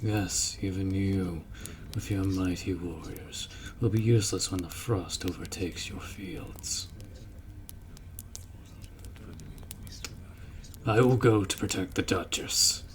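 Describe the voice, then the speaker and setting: A man's voice: deep voice, noisy background. A man playing a stern, honorable orc warrior commander in a fantasy audio roleplay, speaking in a formal, commanding way. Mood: solemn, resolute. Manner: formal, commanding, measured delivery.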